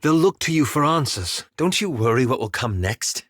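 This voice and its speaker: A man playing a polite, anxious, scholarly elven wizard in a video game, speaking with formal, measured, courteous diction. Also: medium pitch, very animated, fairly bright tone, no echo, studio quality. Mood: earnest, uneasy. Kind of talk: video game character dialogue.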